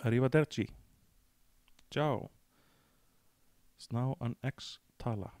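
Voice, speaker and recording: A man's voice: mid-range voice, slow pace, no echo. A man with an Icelandic accent giving casual commentary as a game co-commentator. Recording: loud keyboard clicks and noise. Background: typing and clicking.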